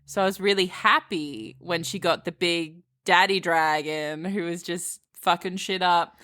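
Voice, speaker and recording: A woman podcast co-host chatting casually about books in a blunt, slangy, expressive way, with some swearing. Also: fairly low pitch, a little slow. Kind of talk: casual book chat.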